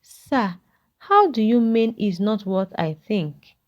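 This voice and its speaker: A woman's voice: medium pitch, animated, slow pace, dull audio. Woman as teenage girl, innocent, polite, deferential, folktale dialogue. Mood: confused. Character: innocent, polite sixteen-year-old village girl. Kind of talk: character dialogue in a narrated folktale.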